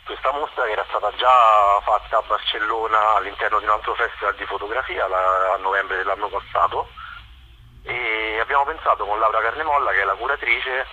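A man talks conversationally as a radio guest over the telephone. His voice is on the low side and he explains haltingly, through a muffled line with clear background noise. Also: fairly even intonation, pushed and bright. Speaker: photographer, exhibition guest. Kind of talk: conversational radio phone interview. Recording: dull, noisy phone line.

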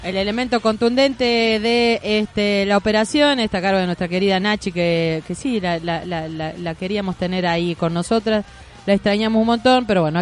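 An Argentine woman hosting a studio radio show, chatting in a rambling way with quick asides. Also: medium pitch. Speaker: radio host. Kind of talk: casual studio radio chat. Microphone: studio microphone.

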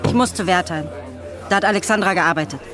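A woman's voice: on the low side, fast talker, crowd noise, lightly acted. An actress as insistent young woman over music. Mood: pleading. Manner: insistent, pointed. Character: determined, reproachful young woman, detective's partner. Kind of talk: radio drama acting.

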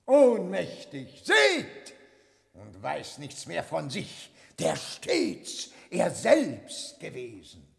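A man's voice: fairly high pitch, huge pitch swings, drawn-out words, creaky, full character voice. A man playing a commanding, mocking master of a mad coronation, proclaiming grandiosely in a 1960s radio drama. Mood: triumphant mockery. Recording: reverberant hall, vintage radio.

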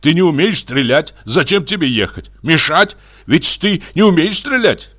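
Man acting an indignant, quick-tempered gentleman. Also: on the high side, very animated, pitched above the performer's own voice, exaggerated voice. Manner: indignant, reproving. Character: stern, easily angered gentleman, jealous brother.

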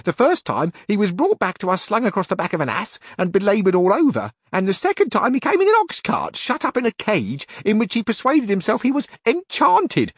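A man voicing a distraught older housekeeper in a high, pleading, shrill voice. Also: slightly rough, no echo, studio quality.

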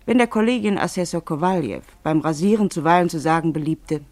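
A narrator reading scripted literary prose for a radio play in a measured, explanatory, mock-formal way. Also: medium pitch, lively intonation, a little mellow, no echo.

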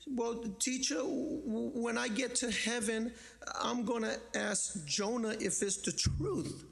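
A man putting on a high, eager little girl. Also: slow pace, vocal fry, long reverb tail, heavily acted. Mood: pleading. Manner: high, pleading child voice. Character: excited eight-year-old girl, earnest and stubborn.

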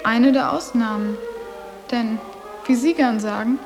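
A woman's voice: on the high side, a little slow, music in the background, rough recording. A woman playing a cool, sarcastic teenage boarding school girl with a dry, mocking delivery in a radio drama. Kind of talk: German literary radio drama.

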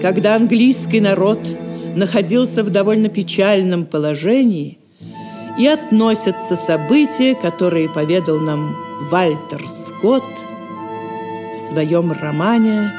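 A man's voice: sits high, a little slow. A man reading a scripted introductory narration as narrator of an audio drama. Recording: loud music under the voice. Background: loud music.

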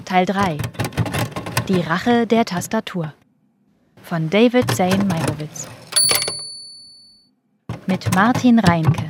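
A woman reading scripted credits as the announcer of a radio drama. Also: medium pitch. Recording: clear clicking mechanical noise behind.

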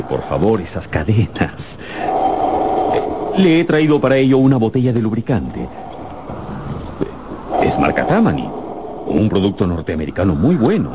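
A man's voice: lowish voice, noisy background. An actor playing a proud, practical American diplomat and father, formal and pompous, in a Spanish-language radio drama.

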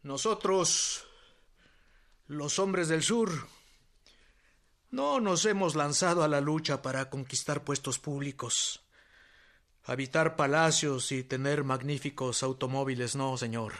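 Fiery revolutionary general, high forceful voice, declamatory, emphatic, radio drama oratory. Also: male voice, slow, long pauses. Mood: earnest, stirring. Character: Eufemio Zapata, fiery revolutionary general making a speech.

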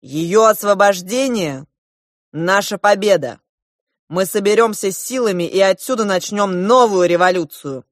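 A woman's voice: medium pitch, a little slow, no room sound, studio quality, pitched above the performer's own voice, close to an everyday voice. A woman voicing a boastful, imperious elder prince in an audiobook, with grand exclamatory delivery. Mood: grandiose.

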